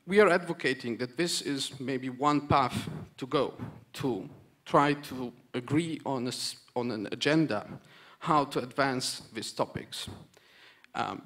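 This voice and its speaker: A man from Romania gives an earnest conference speech, stressing points through repetition and pausing for hesitant restarts. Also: mid-range voice, a bit bright. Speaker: conference panel speaker.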